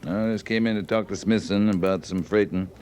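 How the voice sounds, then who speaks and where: An actor playing a terse, steady cavalry captain in an old-time radio western, with a laconic, understated delivery. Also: male voice, on the low side, fairly quick, a little hiss or hum, natural voice. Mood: guarded, casual.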